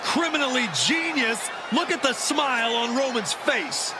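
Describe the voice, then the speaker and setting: A man playing an excitable, gloating wrestling commentator who sides with the villains in a video game. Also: very high-pitched, measured pace. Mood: gloating, thrilled. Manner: emphatic, gloating. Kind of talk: shouted sports commentary. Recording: noisy arena with loud crowd.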